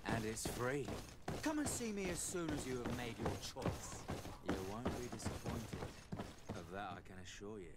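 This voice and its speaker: Actor as a suave barker under loud noise. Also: male voice, medium pitch, big pitch swings, normal speed, close to an everyday voice. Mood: enticing. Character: suave male brothel barker, enticing. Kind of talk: video game acting. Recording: loud game ambience, fading into distance.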